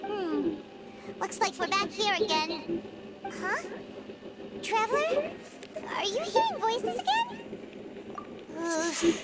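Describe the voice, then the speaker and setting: A woman voicing a tiny floating childlike companion in a video game, chirpy, excitable and easily spooked, with a squeaky voice. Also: slow, long pauses, heavy background noise, full character voice. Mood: spooked, urgent. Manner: refers to herself in third person, exclaiming. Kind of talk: video game character acting.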